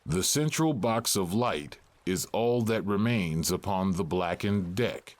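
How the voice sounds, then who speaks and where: Deep, slow male narrator. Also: animated, no echo. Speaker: narrator reading stage directions. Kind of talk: scripted narration.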